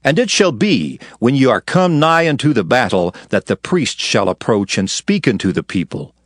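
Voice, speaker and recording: A man's voice: mid-range voice, very animated, normal speed, dry recording, clean studio sound. A man narrating dramatized scripture in a solemn, declamatory manner.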